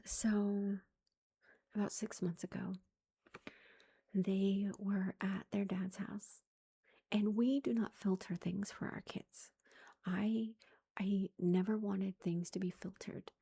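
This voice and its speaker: A woman in her thirties, a mother, telling a story in a soft whisper, chatty and amused. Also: mid-range voice, level tone, takes it slowly, vocal fry, long pauses, slightly rough.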